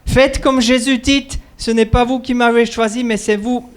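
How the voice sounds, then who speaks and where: A male missionary preaching in short phrases, pausing for his interpreter. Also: very high voice, steady tone. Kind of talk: sermon with interpreter.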